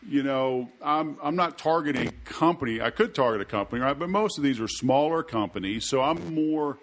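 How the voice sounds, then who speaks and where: A man's voice: mid-range voice, brisk, a little hiss or hum. A man hosts a podcast with a drawl. He talks in a loose, chatty way, piling up asides and little jokes between his questions. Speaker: podcast host. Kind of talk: casual interview chat.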